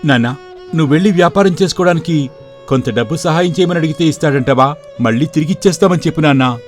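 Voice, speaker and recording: A man's voice: on the high side, quick pace, soft background music, close to an everyday voice. A man playing a poor, respectful young shop clerk in a moral story, earnest and polite in his talk. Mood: troubled, questioning. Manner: polite and deferential. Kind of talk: dramatized moral story for video.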